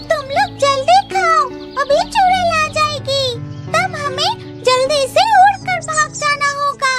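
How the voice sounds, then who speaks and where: A woman voicing a small, eager female bird who sneaks fruit in a Hindi children's cartoon, with a childlike squeak. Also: slow, over loud music. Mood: urgent. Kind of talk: Hindi cartoon story character acting.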